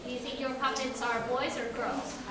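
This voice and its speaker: A high-pitched woman's voice gives a class of children simple directions and questions. She is some way from the mic in a very echoey classroom, with children chattering in the background. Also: level tone, moderate pace, recorded from a distance, over a noisy crowd. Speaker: teacher. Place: indoor classroom.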